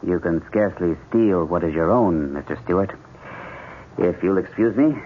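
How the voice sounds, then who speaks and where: A composed chief surgeon acted by a man in a 1970s radio play, quietly lovestruck beneath his courtesy. His low voice is measured and dryly formal. The recording is slightly dull with a faint hiss. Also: natural voice. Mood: stiffly polite. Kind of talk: 1970s radio drama acting. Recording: muffled, hissy 1970s radio broadcast.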